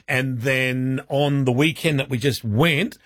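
An Australian man hosting radio, chatty and excitable, prone to loud laughter. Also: medium pitch, slow pace, dry recording, studio quality. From Queensland, Australia. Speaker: radio host. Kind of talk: breakfast radio banter.

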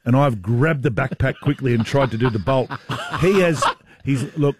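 A man's voice: moderate pace, noisy background. A man, a radio co-host telling a prank story and laughing through it. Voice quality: deep voice. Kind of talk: storytelling banter.

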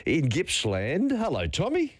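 A man's voice: fairly high pitch, huge pitch swings, unhurried, husky. A man hosting talkback radio, chatting casually and reading out listener messages with friendly asides.

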